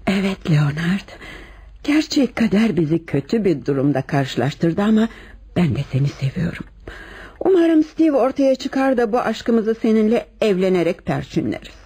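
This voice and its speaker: An actress playing a soft, seductive married woman with a secret lover in a radio play, coquettish and theatrical. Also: medium pitch, big pitch swings, moderate pace, breathy edge. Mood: tender, loving. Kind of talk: Turkish radio theatre acting.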